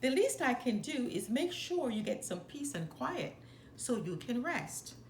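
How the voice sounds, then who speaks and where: Woman as young male storybook mouse, eager, devoted, earnest, animated pitch, roomy echo. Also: a little slow. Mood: determined, caring. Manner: earnest, expressive storybook dialogue. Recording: roomy echo, faint background noise.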